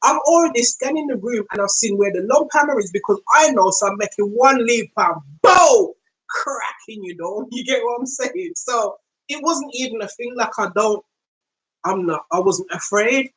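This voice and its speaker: A woman with a London accent telling a story in a casual, slangy monologue, acting out quoted speech in slow, deliberate tones. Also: a little high, expressive, fairly bright tone, low-quality recording. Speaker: podcast host.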